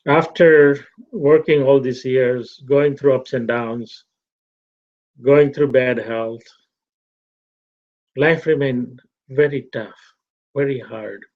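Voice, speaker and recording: A middle-aged man from Tanzania giving a reflective talk to a fellowship, measured and repetitive. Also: medium pitch, fairly even intonation. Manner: slow, measured, repeats phrases. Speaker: fellowship speaker. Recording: dull lo-fi recording.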